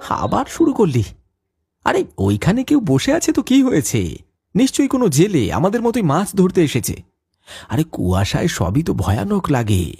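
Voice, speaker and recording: A man's voice: a little high, wildly swooping pitch, moderate pace, vocal fry, darkish tone, breathy edge, no echo, studio quality, heavily acted. A man playing a sceptical, mocking young village man in a cartoon ghost story, teasing and dismissive. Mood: impatient, dismissive. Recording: music behind the voice.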